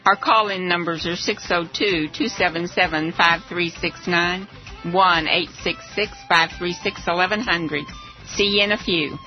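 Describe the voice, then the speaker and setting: A woman's voice: lowish voice, normal speed, over music. A woman hosting a live political talk radio show, talking to guests and listeners. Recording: dull lo-fi radio audio.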